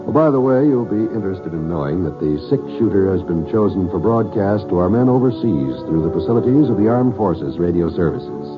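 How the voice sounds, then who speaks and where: A man's voice: deep voice, lively intonation, fairly quick, hardly any pauses. An American man reading scripted credits as a formal, polished 1950s radio announcer. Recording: dull vintage radio recording, music behind.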